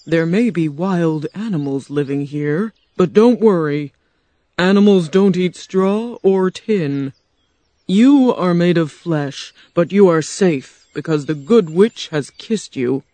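A woman voicing a kind male man made of tin in a storybook reading, calm and reassuring. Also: fairly low pitch, takes it slowly, no echo.

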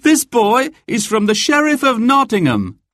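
A man performs a young male forest outlaw with a high, swooping voice. He is defiant and easily alarmed, calling out urgently as a storybook character. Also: wildly swooping pitch, normal speed, a bit bright, no echo, clean studio sound, heavily acted. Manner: urgent, exclamatory.

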